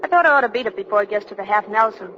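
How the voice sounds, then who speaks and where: An actress playing a plucky young waitress hitching a ride, breezy and slangy, in a 1940s radio drama. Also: female voice, medium pitch, expressive, very fast, sharp tone. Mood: wry, casual. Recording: dull old radio recording, faint noise.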